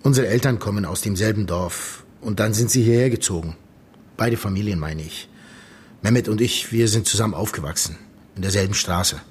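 An actor playing the grave, loyal friend of a murdered man in a radio drama, speaking in an earnest, measured way. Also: lowish voice, slight background noise, close to an everyday voice. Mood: grave, reminiscing. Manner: measured, earnest, telling things step by step. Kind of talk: German radio drama dialogue.

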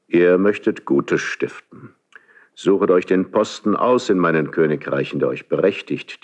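A proud, ageing monarch in a classical verse radio play. The voice is very low and moves very slowly, with weighty pauses and an imperious, solitary gravity. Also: male voice, full character voice. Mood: measured, appraising. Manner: measured, weighty, imperious delivery with long pauses. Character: grave, proud, solitary ageing king of Spain. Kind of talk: classical German stage verse radio drama.

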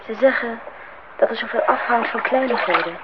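A woman's voice: on the high side, moderate pace, natural voice. An actress playing an earnest, questioning eighteen-year-old girl in a Dutch radio play, talking in short, probing phrases. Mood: quiet, probing. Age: young adult. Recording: dull, noisy old radio play recording.

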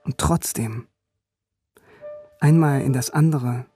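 A male actor playing a man who looks back on his school years as a radio drama narrator, reflective and measured. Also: mid-range voice, warm tone, faint music behind, close to an everyday voice. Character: man named René recalling his youth as a schoolboy.

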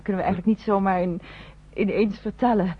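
A woman's voice: mid-range voice, fairly even intonation, fast, breathy edge, natural voice. An actress playing an earnest, polite woman in a radio play, explaining tactfully and persuasively. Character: earnest, polite woman pleading for refugees' passage. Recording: muffled, noisy old radio drama recording.

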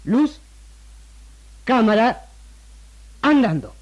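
High-voiced, animated Argentine director. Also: male voice, drawn-out words, muffled recording, full character voice. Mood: commanding. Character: bossy commercial film director, loyal worldly male friend. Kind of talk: 1960s Argentine radio drama acting. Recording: 1960s radio archive, music behind.

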